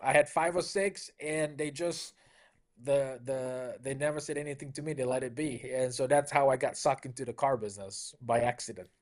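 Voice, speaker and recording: A man's voice: mid-range voice, steady tone, moderate pace. Middle-aged man from Malaysia, dealership owner as interview guest; upbeat, anecdotal delivery. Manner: anecdotal, upbeat, frequent "you know" fillers. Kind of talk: conversational interview storytelling.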